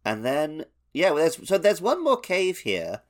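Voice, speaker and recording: A man's voice: on the high side, huge pitch swings, measured pace. A man co-hosting a podcast, chatting casually about video games. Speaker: podcast co-host. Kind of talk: casual video game chat.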